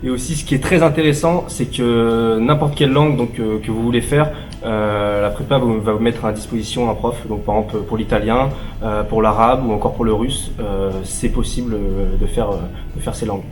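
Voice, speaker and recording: A young male student giving halting interview answers full of fillers. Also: lowish voice, fairly even intonation, soft background music. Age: young adult. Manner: hesitant, halting, many fillers. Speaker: prep school student.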